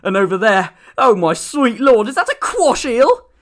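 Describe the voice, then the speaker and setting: A man voicing an excitable, cheeky young boy adventurer in an audiobook, full of informal British exclamations. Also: very high voice, normal speed, voice pushed up. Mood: amazed. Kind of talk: audiobook character acting.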